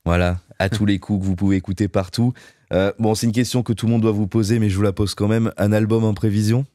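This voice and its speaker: A male radio host with a fairly low voice leads an interview. His questions wander and he corrects himself mid-sentence as he goes. The sound is dry and close to the mic. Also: fairly even intonation, fast talker, mellow, no room sound. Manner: rambling, self-correcting questions.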